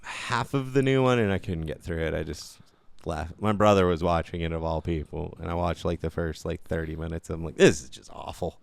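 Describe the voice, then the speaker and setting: A man's voice: low voice, brisk, creaky, no room sound. A man co-hosting a podcast, talking casually and giving blunt, offhand opinions in informal language. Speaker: podcast co-host. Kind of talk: casual chat, movie and game commentary.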